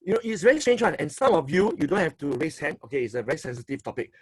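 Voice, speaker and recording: A man's voice: on the high side, big pitch swings, racing, breathy edge, dry recording. Emphatic Singaporean man coaching an audience. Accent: Singaporean English. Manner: emphatic, repeats phrases, asks for likes and applause; tags sentences with "okay". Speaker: motivational speaker and coach. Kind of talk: online seminar talk.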